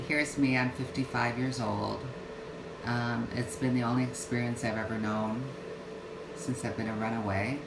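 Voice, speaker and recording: A woman in her fifties talks slowly and haltingly into a home livestream, pausing on fillers and restarting her sentences. Her voice rings in an echoey room. A loud air-conditioning hum runs underneath. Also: very deep voice. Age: 50s. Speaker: vlogger. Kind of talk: casual livestream talk. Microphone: phone or webcam livestream.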